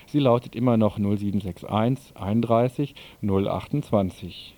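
A man's voice: on the low side, normal speed, background noise. A man presenting a radio news programme, reading out scripted announcements.

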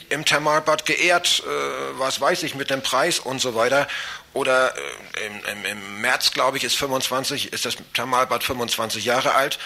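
A man's voice: mid-range voice, pushed and bright. A man activist talking informally in an interview, rambling and searching for his words. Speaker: campaign group activist interviewed.

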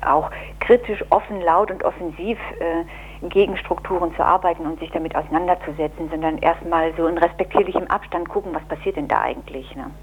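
A woman's voice: on the low side, fairly quick, slightly rough, low-quality recording. A woman speaking spontaneously, as in an interview.

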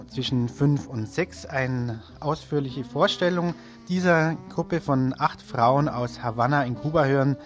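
A male community radio presenter with a mid-pitched voice talks through local listings live. He sounds casual and unscripted, with faint guitar music behind him. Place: radio studio. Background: guitar music under the voice.

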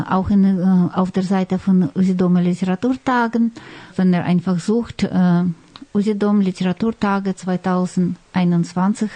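A woman hosts a radio programme in a mellow voice of medium pitch. The recording is close and dry. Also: dry recording. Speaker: radio host. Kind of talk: radio presenting.

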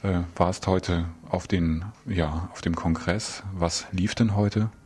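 A man interviewing informally in a radio studio, with halting, hesitant phrasing. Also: low voice, fairly even intonation, a little mellow, breathy edge.

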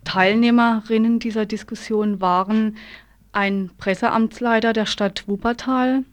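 A woman's voice: a little high, steady tone, a little slow. A woman presenting on the radio, introducing items in a semi-scripted, explanatory way and sometimes correcting herself.

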